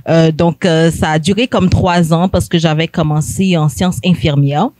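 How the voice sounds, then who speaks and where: A woman's voice: deep voice, steady tone, moderate pace, darkish tone, no echo, clean studio sound. A young woman from Haiti, a guest telling her story in a hesitant way, with many fillers. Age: young adult. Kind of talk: hesitant personal testimony.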